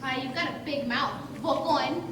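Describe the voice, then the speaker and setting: A woman's voice: sits high, normal speed, a bit bright. A woman playing a village woman on stage, declaiming dramatically in mixed Spanish and English. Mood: scolding. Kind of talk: stage play acting. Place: high school stage in a large hall. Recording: echoey hall from far off, very noisy.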